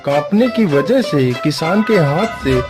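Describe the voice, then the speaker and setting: A man's voice: a little high, expressive, measured pace. A man narrating a scripted children's cartoon story as the storyteller. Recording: music bed behind the voice.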